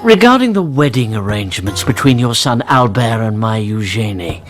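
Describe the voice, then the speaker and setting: Radio drama: actor as wealthy, self-important baron, sly, mocking, very lively intonation. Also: male voice, on the low side, moderate pace, soft background music, close to an everyday voice. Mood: smug, sly. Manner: mocking, evasive, pompous.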